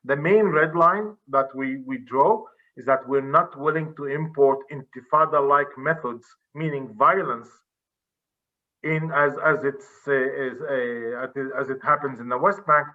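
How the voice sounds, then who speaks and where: A man's voice: medium pitch, phone-quality sound. A man from Israel, giving an earnest, explanatory talk over a video call. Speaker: presenter, director of a civic center.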